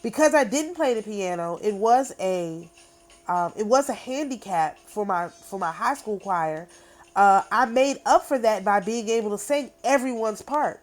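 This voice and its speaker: A woman's voice: mid-range voice, lively intonation, unhurried, soft background music. A middle-aged woman talking casually to camera in an emphatic, repetitive, boastful way. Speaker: spiritual channel host. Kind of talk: casual spoken monologue.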